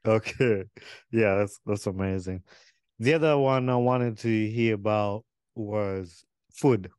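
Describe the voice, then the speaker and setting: A man's voice: fairly low pitch, slow, darkish tone, clear, resonant tone, dry recording, studio quality. A man hosting a casual podcast interview, easygoing and curious, often restarting his questions. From Uganda. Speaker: podcast host.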